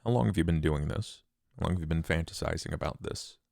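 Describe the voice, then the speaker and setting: A man voicing a cold, controlled killer in a tabletop role-play, questioning in a deliberate, formal and menacing way. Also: rumbling low, gravelly, darkish tone, dry recording, studio quality, exaggerated voice. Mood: measured, probing. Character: cold, controlled male killer interrogating his copycat.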